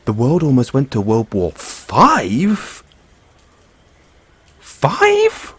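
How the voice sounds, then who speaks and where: A man playing an excitable, affectionate mad scientist boyfriend in an intimate audio roleplay, musing haltingly to himself and his partner. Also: medium pitch, husky, heavily acted. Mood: amused, musing. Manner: musing, halting, chuckling asides, drawn-out reactions.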